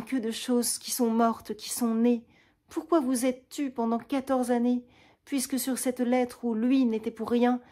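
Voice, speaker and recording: A woman's voice: fairly high pitch, warm tone, voice pushed up. A woman voicing a passionate noblewoman who speaks urgently and emphatically, pressing with rising insistence. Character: passionate noblewoman, stunned and pleading.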